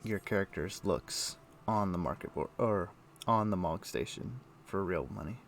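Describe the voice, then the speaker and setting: A man streaming a game, chatting casually and thinking aloud in a halting, muttering way as he plays. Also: sits low, a little hiss or hum. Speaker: game livestreamer. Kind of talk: casual livestream chat with gameplay commentary.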